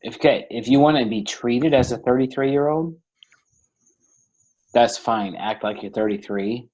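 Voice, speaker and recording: A man's voice: medium pitch, measured pace, darkish tone, slightly rough, highs cut off. A man in his thirties co-hosting a beer podcast, chatting casually and cracking teasing jokes. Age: 30s. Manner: joking, teasing. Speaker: podcast co-host. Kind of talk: casual chat.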